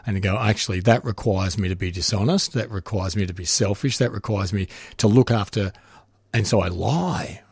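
Low-voiced frank middle-aged man. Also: moderate pace, husky, no echo. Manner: frank, self-reflective. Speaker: guest. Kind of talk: candid conversation.

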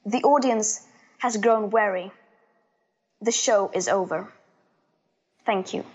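A woman's voice: medium pitch, slow, husky. A woman delivering a formal speech, heard on a dull, lo-fi clip.

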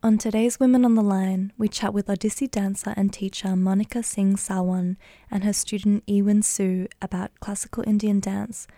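A woman's voice: mid-range voice, flat delivery, moderate pace, dark tone, dry recording, studio quality. A woman hosting a radio current affairs program, presenting scripted introductions and putting questions to guests. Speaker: radio host and interviewer.

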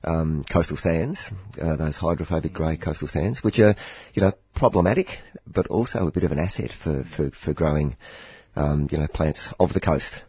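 An Australian man, croaky from hay fever, chatting on a radio garden show with lots of restarts and chuckles. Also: very deep voice, moderate pace, hardly any pauses, dry recording, dull audio. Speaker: horticulturalist radio guest. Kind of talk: casual radio chat.

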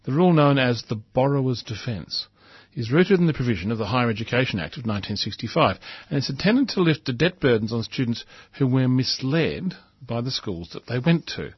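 A man's voice: medium pitch, big pitch swings, brisk, slightly rough, no room sound, lo-fi sound. A man hosting a talk show, reading out a newspaper editorial and adding his own earnest, emphatic comments. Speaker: radio host reading an editorial.

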